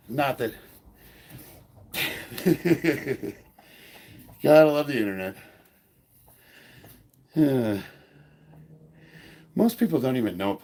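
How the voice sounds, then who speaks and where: A man's voice: lowish voice, a little mellow. A man in his fifties who is stuffed up and short of breath, talking loosely and rambling as he coaches a training session. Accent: American. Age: 50s. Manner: loose and rambling, trailing off mid-sentence. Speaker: powerlifting coach. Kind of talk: casual training vlog.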